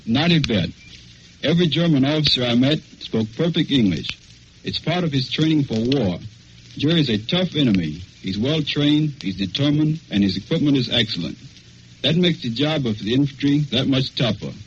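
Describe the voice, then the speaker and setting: Young American male soldier, mid-pitched, reading personal testimony over a dull, slightly noisy 1940s radio broadcast. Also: animated, normal speed. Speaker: wounded soldier recounting combat.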